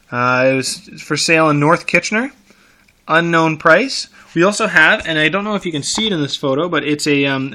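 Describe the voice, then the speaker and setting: A man's voice: on the high side, bright, forceful tone. A man giving casual, off-the-cuff commentary like a car enthusiast, with wry, enthusiastic asides muttered to himself. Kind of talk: casual off-the-cuff commentary while browsing classified ads.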